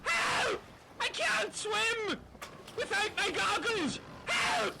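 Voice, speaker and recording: A man playing a foolish, cowardly castaway who yells in an over-the-top panic in an amateur comedy audio drama. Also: extremely high pitch, sing-song, takes it slowly, creaky, very noisy, full character voice. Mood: frantic.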